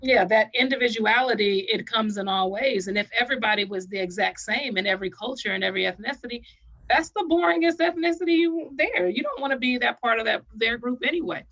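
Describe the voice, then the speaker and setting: A woman's voice: fairly high pitch, quick pace, a bit bright. Woman on a muffled video call. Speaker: symposium participant. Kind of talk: informal discussion comment. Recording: dull lo-fi video call audio.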